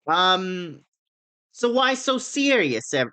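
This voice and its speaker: A woman's voice: on the low side, very animated, slow pace, bright, forceful tone, no echo, full character voice. A woman voicing a cheerful circus performer girl from a game, with a Southern US accent and a playful manner.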